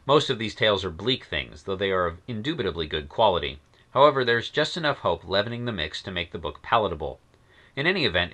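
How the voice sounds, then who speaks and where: Animated low-voiced man, bright delivery. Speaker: reviewer and host reading his own column. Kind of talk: scripted commentary on science fiction magazines.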